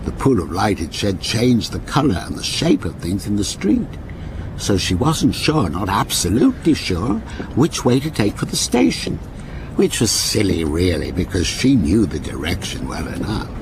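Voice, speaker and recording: Radio ghost story: a courteous, insistent gentleman storyteller, measured and solicitous, animated intonation, clear background noise. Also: fairly low pitch. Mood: atmospheric, suspenseful. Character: courteous, softly insistent male storyteller and train passenger.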